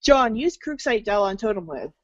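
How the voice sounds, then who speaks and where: A woman's voice: mid-range voice, big pitch swings, moderate pace, no echo, lo-fi sound. A woman reading scripted second-person game narration in the manner of a read-along storybook.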